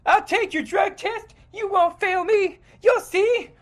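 Very high-pitched eager boy hero. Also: slow pace. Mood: tearful defiance. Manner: exclamatory, earnest. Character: eager young boy hero Pac-Man, earnest and melodramatic. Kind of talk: parody movie trailer acting.

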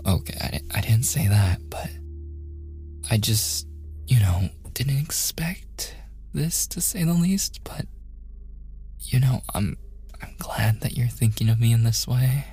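A man playing a shy, awkward young man in a boyfriend ASMR roleplay, softly stammering and often slipping into whispers. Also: mid-range voice, animated, slow, long pauses, breathy edge, soft background music, exaggerated voice. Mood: startled, flustered. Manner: hesitant, stammering, self-deprecating joking.

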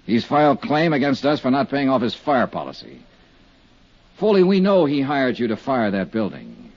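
A man's voice: medium pitch, wildly swooping pitch, moderate pace, a little mellow, slightly rough, heavily acted. An actor playing a blunt, hard-boiled male insurance investigator who also narrates a 1950s radio drama. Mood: pressing, persuasive. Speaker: lead character and narrator. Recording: dull 1950s radio recording.

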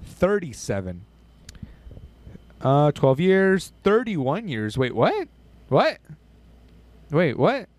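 A man's voice: a little high, huge pitch swings, very slow, long pauses, a little mellow, dry recording. A young man hosting a casual podcast chat, swearing freely and reading out lists of names and numbers. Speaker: podcast host.

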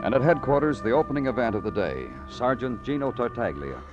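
An actor playing a world-weary, poetic police detective in an old-time radio drama, terse and measured. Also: male voice, mid-range voice, animated, close to an everyday voice. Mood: brooding, lyrical. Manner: terse, hard-boiled, measured. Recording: muffled vintage radio recording with music.

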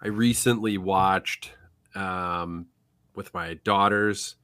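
A man's voice: sits low, takes it slowly, clear, resonant tone, no room sound, clean studio sound. A man in his thirties from Central Illinois with a matching accent, co-hosting a casual podcast and ranting bluntly with some swearing. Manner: blunt, swears, animated rants. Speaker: podcast co-host. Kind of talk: casual chat.

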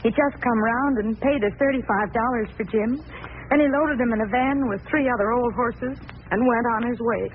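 A woman's voice: fairly high pitch, normal speed, natural voice. A woman playing an anxious, pious farm wife with a plaintive, folksy manner, in 1940s radio style. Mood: worried. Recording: tinny old radio, faint noise.

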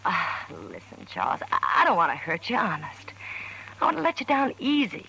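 A woman's voice: fairly low pitch, huge pitch swings, moderate pace, gravelly, bright, forceful tone, husky, heavily acted. An actress playing a tough, slangy, blunt carnival showgirl near forty in an old-time radio drama. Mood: firm, weary. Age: middle-aged. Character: brassy hard-bitten carnival showgirl pushing forty. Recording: noisy vintage radio recording.

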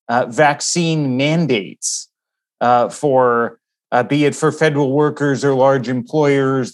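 A man working as a political reporter, giving conversational news analysis in a halting, measured, wordy way. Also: mid-range voice.

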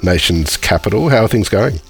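Deep-voiced male podcast host. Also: animated, normal speed, soft background music. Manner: hesitant, many fillers while asking. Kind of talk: interview questions, scripted intro.

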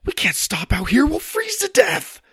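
A man voicing an anxious, excitable young boy in a children's story. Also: very high-pitched, measured pace, dry recording, studio quality, pitched above the performer's own voice, exaggerated voice. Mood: panicked.

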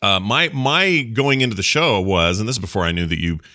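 Bright-voiced earnest male interviewer. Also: fairly low pitch, animated, brisk. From United States. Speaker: interviewer host. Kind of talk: casual interview chat.

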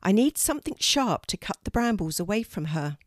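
A woman voicing a kind, practical young girl who speaks gently and reassuringly in a children's storybook. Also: medium pitch, sing-song, fairly quick, no room sound, clean studio sound.

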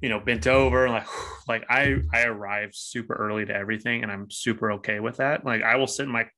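A man in his thirties co-hosts a relaxed podcast conversation. His voice is bright and forceful at a middle pitch. He tells stories with animation and laughs easily. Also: fairly quick, low-quality recording. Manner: chatty, laughs often, animated storytelling. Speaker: podcast co-host. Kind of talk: casual chat.

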